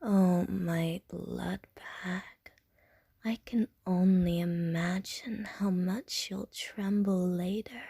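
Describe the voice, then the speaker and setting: Short, teasing female vampire voiced by a woman for ASMR roleplay: unhurried half-whispered delivery, mellow tone, innuendo-heavy flirting. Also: on the low side, steady tone, gravelly, husky, no room sound, clean studio sound, exaggerated voice. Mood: flirty whisper.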